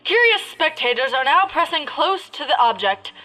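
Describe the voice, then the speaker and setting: Woman playing a bright, high-voiced flustered radio reporter. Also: sing-song, a little hiss or hum, heavily acted, rough recording. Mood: excited. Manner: halting, exasperated live commentary. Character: flustered, crude male radio news reporter at crash site. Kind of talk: parody of 1930s radio drama.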